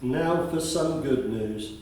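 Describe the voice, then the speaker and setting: A man's voice: mid-range voice, takes it slowly, a little mellow, a little hiss or hum, lightly acted. A man acting in a radio play as an earnest, concerned school attendance officer, speaking formally and sympathetically. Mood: sympathetic. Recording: very echoey, far from the mic.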